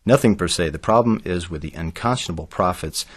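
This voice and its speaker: An actor playing an earnest, preachy activist author in an audio drama. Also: male voice, deep voice, a little fast, husky. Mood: earnest, urgent. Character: earnest male activist author, alarmed and self-promoting.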